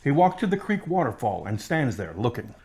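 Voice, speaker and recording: A man's voice: medium pitch, sing-song, brisk, darkish tone. A man narrating a scripted audio drama as a storyteller, describing the scenes in the third person.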